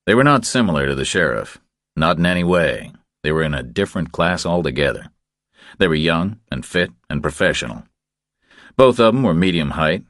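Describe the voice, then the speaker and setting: A man narrating a crime thriller audiobook in a measured, terse storytelling manner. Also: low voice, very animated, a bit bright, no echo, clean studio sound.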